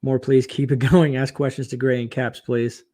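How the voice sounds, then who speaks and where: A man hosting a casual late-night livestream, chatting and reading viewer comments aloud, his voice getting hoarse. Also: medium pitch, little pitch movement, moderate pace, dark tone. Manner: chatty, reads viewer comments aloud, frequent fillers and laughs. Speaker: livestream host.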